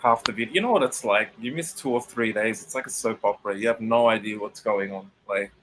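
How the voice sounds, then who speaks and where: A man from Sydney with a crisp Australian accent, chatting casually as host of a livestream. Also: on the low side, quick pace, a bit bright, slight background noise, rough recording. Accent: Sydney Australian, crisp enunciated. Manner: chatty, self-correcting, enunciates clearly. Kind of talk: casual live chat.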